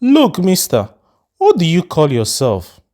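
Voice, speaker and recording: Man voicing a fierce middle-aged mother in a storybook, raised pitch, demanding and confrontational. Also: huge pitch swings, measured pace, dark tone. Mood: indignant. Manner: confrontational, firing questions.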